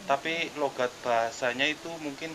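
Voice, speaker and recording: A man's voice: medium pitch, normal speed, background noise. A middle-aged man with a Javanese accent, speaking casually and politely as an on-location vlogger, slightly muffled by a face mask. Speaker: vlogger helping homeless people. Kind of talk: casual on-location vlog narration and chat. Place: outdoors, yard and riverside by a road bridge.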